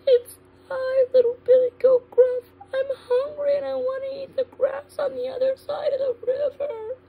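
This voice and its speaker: A woman's voice: very high voice, little pitch movement, moderate pace, phone-quality sound, slight background noise, pitched above the performer's own voice. A woman voicing a small, timid young billy goat in a storybook, with a thin, whimpering, pleading childlike voice.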